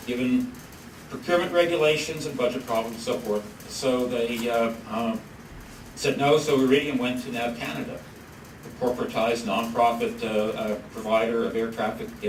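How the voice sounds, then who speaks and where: Man talking in an echoey hall from afar. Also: mid-range voice. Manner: halting, many fillers and restarts. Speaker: policy researcher presenting a study. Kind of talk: conference presentation. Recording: echoey room from far off, noisy.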